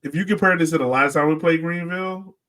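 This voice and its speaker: A man's voice: on the high side, fast, pushed and bright, recorded from a distance. A man co-hosting a sports podcast, chatting casually and telling stories in slangy, acted-out quotes. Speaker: podcast co-host.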